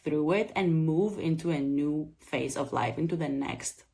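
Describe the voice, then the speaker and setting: Earnest woman, lowish voice. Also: measured pace, creaky, dark tone. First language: Greek. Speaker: psychedelic preparation coach and channel host. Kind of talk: talking to camera, explanatory vlog.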